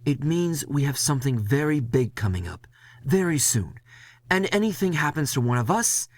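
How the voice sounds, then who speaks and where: Guy doing a stern bossy crew leader for an audio drama, kinda high voice, dismissive and fed up. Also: sing-song, moderate pace, no room sound, studio quality. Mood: stern, urgent. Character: Leslie, stern male crew boss, exasperated, lecturing.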